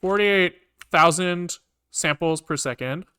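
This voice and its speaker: A man's voice: a little high, takes it slowly, bright, forceful tone, clean tone. A man talking casually to himself as he works through code on a live stream, informal and muttering. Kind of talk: casual think-aloud live coding commentary.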